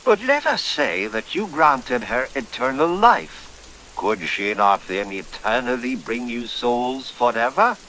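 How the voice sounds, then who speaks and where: A man voicing a suave, scheming gentleman barrister in a horror radio play, eloquent, formal and theatrical. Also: mid-range voice, very animated, slow pace, slight background noise, heavily acted, rough recording. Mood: persuasive. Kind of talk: old-time horror radio play acting.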